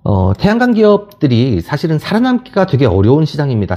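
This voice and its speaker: A man's voice: mid-range voice, warm tone. A man hosting a channel gives opinionated news commentary in an explanatory, emphatic manner, often posing rhetorical questions, on a slightly muffled recording. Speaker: channel host and solar industry worker.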